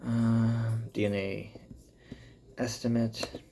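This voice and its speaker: A man with an American accent talking casually as a vlogger, thinking aloud in halting commentary as he reads through results. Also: mid-range voice, flat delivery, dark tone, slight background noise. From born in Cuba, grew up in the US. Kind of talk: casual update talk, reading results aloud.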